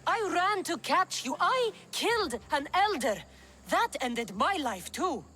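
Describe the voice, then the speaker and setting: An actress playing a tough, defiant warrior in a video game, earnest and protective, with a direct, dramatic delivery. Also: female voice, very high-pitched, big pitch swings, takes it slowly, sharp tone, full character voice. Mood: hurt, earnest. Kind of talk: video game cutscene acting.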